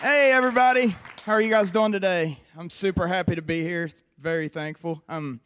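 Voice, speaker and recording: A man in his twenties with a West Virginia accent, giving an earnest, conversational personal testimony to a church audience with frequent pauses. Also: high-pitched, fairly bright tone, some chatter behind, slight background noise. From West Virginia, USA. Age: 20s. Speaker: recovery program member giving testimony.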